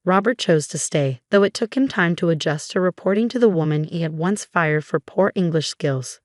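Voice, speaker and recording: Low-voiced woman reading a story. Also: moderate pace, no room sound, studio quality. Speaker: narrator. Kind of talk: first-person story narration.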